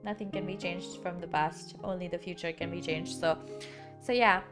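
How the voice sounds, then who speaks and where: A woman's voice: lowish voice, steady tone, moderate pace. A young woman streamer with a dry, sick-sounding throat, chatting casually and rambling with lots of filler words. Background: background music.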